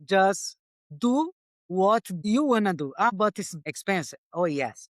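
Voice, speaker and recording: A woman's voice: mid-range voice, sing-song, measured pace, dry recording, studio quality. A Brazilian woman with a Brazilian Portuguese accent, a commercial pilot and native Portuguese speaker, talking earnestly in a casual interview with non-native phrasing and frequent laughs.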